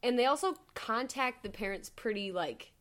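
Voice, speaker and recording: Chatty young woman with a high voice. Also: lively intonation, normal speed, a bit bright. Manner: chatty, heavy with "like", swears casually. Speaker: podcast co-host. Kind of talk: casual storytelling of a true crime case.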